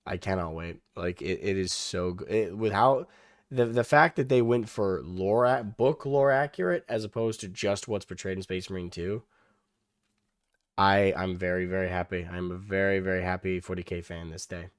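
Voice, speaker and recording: Hoarse low-voiced man rambling. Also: normal speed, no room sound, studio quality. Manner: rambling, frequent restarts and fillers, swears. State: hoarse, losing his voice. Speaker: reaction video host. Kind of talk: casual reaction commentary.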